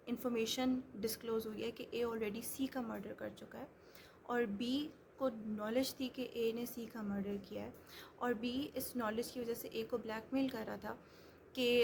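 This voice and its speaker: A woman explaining law step by step, many hesitations and restarts. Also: fairly high pitch. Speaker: law lecturer. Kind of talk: explanatory lecture. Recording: noisy background hiss.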